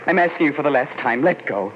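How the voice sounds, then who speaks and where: Guy playing earnest doomed man in an old radio mystery, halting, very animated pitch, muffled sound. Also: slight background noise, lightly acted. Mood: urgent, pleading. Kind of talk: 1940s radio mystery acting.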